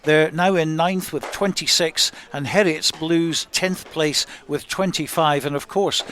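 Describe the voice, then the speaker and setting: A man's voice: a little high, fairly even intonation, measured pace, fairly bright tone, a little hiss or hum. A man hosting a radio sports show, reading out results in a live round-up.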